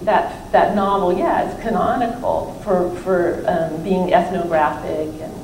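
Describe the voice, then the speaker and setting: A woman's voice: fairly low pitch, steady tone, a little slow. Woman lecturer in a roomy, distant recording. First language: not French (non-native French speaker). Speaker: professor and author presenting her book. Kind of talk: informal question-and-answer discussion at a book talk. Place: library event room, recorded from a distance. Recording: roomy, distant mic with faint room noise.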